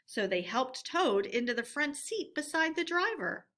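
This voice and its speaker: Bright, animated woman reading a story aloud. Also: high-pitched, unhurried. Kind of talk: expressive scripted storybook narration.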